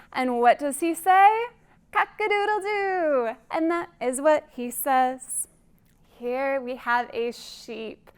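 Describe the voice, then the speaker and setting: A woman with a high voice leads a storytime for young children. She speaks slowly and deliberately, with a singsong delivery, like a librarian guiding small listeners through a tale and its questions. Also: darkish tone, clean tone.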